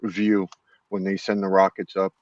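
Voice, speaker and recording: A man's voice: low voice, monotone, moderate pace. A man from Florida chatting on a voice call in a laid-back, hesitant way. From Florida, USA. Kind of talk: casual voice chat. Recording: dull, dry voice-call audio.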